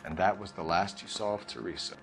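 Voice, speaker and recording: An actor playing a stern, probing 1940s homicide detective in a video game, clipped, formal and accusatory. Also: male voice, deep voice, a little fast, vocal fry, over music. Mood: accusing, sardonic. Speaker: police detective. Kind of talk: video game cutscene interrogation acting.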